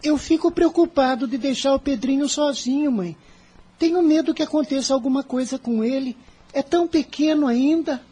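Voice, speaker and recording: A woman's voice: high-pitched, normal speed, mellow, natural voice. A woman playing an earnest, worried schoolboy from a poor family in an audio drama. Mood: worried, gentle.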